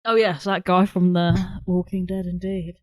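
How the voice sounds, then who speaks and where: A woman's voice: lowish voice, little pitch movement, moderate pace, darkish tone, dry recording. A woman co-hosting a casual film review chat, commenting in a wry, bemused way. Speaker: podcast co-host.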